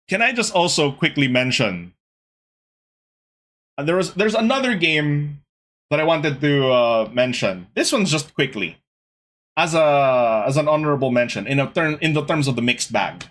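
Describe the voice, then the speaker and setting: Young male podcast host, slightly high, husky delivery, animated casual rant to his chat. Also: measured pace, long pauses. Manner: animated, exasperated ranting with laughs.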